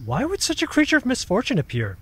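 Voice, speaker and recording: A husky, high male creature voice acted by a man, swooping theatrically as it explains. It is ancient, knowing and drily sceptical, a storyteller from an audio drama. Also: wildly swooping pitch, quick pace, fairly bright tone, exaggerated voice. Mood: knowing, explanatory.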